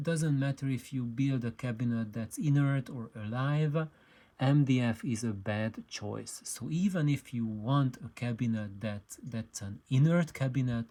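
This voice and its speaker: A man presenting an informal explainer, speaking haltingly and often repeating himself as he searches for words. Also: mid-range voice, warm tone. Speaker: presenter of an audio DIY channel. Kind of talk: informal explanatory video talk.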